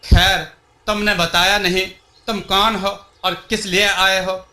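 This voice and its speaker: A man's voice: high-pitched, flat delivery, slow pace, sharp tone, reverberant, exaggerated voice. A man voicing a wise, solemn elderly villager who cautions and guides in a storybook tale. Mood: grave warning. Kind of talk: storybook dialogue.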